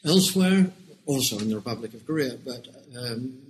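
Measured British man explaining slowly. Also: mid-range voice, animated, a little hiss or hum, poor audio. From United Kingdom. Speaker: education researcher guest. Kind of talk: measured academic interview answers.

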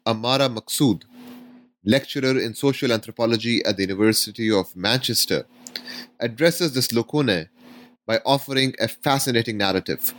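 A man's voice: mid-range voice, a bit bright. A man hosting an academic book podcast, reading a formal introduction and then asking questions with many uhs. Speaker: podcast host, interviewer.

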